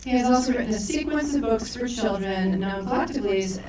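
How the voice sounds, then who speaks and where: Woman emcee, mid-pitched, distant in a very echoey hall, reads bios and trips over words. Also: quick pace, a little mellow, slight background noise. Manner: reads bios with stumbles and self-corrections. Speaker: festival co-director introducing speakers. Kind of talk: live stage introduction. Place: large echoey event hall.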